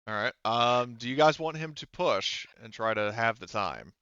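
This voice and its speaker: A man running a tabletop roleplaying game as game master, explaining the scene in halting, unscripted narration. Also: mid-range voice, animated, dull audio, noisy background.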